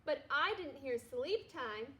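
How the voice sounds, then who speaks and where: A woman's voice: high voice, expressive, takes it slowly, fairly bright tone, exaggerated voice. A woman voicing a clever, matter-of-fact know-it-all young boy in a storybook.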